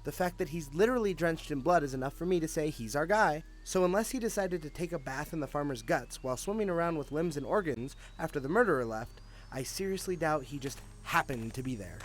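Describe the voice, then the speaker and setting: A man's voice: on the high side, expressive, a little fast, slight background noise, natural voice. A man acting a confident, cynical police detective with a sardonic, matter-of-fact manner in an audio drama. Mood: sardonic, assured.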